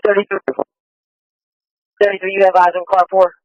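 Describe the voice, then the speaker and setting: A man's voice: sits high, monotone, normal speed, no echo. A man relaying terse, repeated calls and questions over police radio. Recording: dull lo-fi police scanner radio.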